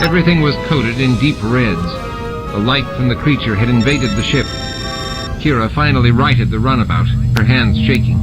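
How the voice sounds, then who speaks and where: A man's voice: mid-range voice, low-quality recording. A man reading dramatic fiction as an audiobook narrator, with music and sound effects added behind him.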